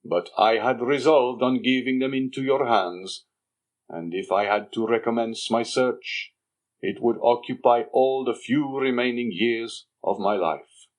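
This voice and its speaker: A man voicing an aging schemer who poses as a dignified father, reading in a measured, stately way. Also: mid-range voice, lightly acted. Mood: solemn. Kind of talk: audiobook character reading.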